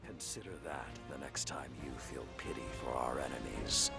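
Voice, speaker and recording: A stern old warlord, with an extremely low, gravel-edged voice. He is grave and unbending, in the solemn style of a game cutscene, with loud music underneath. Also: lively intonation, exaggerated voice. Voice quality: deep, gravelly. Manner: slow, solemn, weighty delivery. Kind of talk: dramatic video game cutscene acting.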